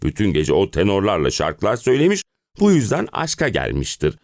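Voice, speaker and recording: A man giving a theatrical, expressive dramatic reading of a stage play, as an actor narrating audio stories. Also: deep voice, a little fast, creaky, no room sound, studio quality. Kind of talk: dramatic performed reading of a one-act play.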